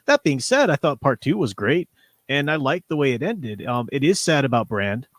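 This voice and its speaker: Male livestream host, average pitch, casual discussion style with rambling, hedging explanations, dry recording. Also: sing-song, normal speed, no room sound.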